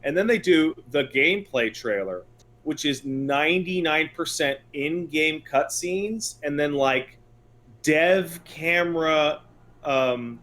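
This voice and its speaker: A man's voice: a little high, takes it slowly, fairly bright tone. A man co-hosting a video game podcast in casual conversation, giving blunt, sarcastic, exasperated opinions.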